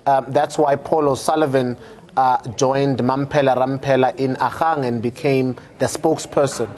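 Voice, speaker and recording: A man's voice: medium pitch, fairly even intonation, moderate pace, darkish tone. A middle-aged man with a South African accent, giving political commentary in a studio, repeating words as he builds his point. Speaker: panel commentator.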